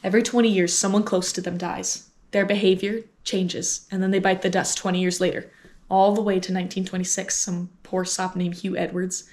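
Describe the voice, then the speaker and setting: A woman acts out a cocky young amateur sleuth in an audio drama. She speaks at a natural middle pitch, brash and emphatic, eager to lay out her case. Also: flat delivery, a little fast, darkish tone, close to an everyday voice. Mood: eager, explaining. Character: cocky, eager young female aspiring private investigator.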